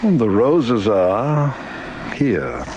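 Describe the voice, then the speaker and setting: An actor playing a sinister, persuasive space mortuary supervisor in a radio drama, measured, courteous and coaxing. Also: male voice, mid-range voice, sing-song, muffled recording, background noise, full character voice. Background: loud dramatic music.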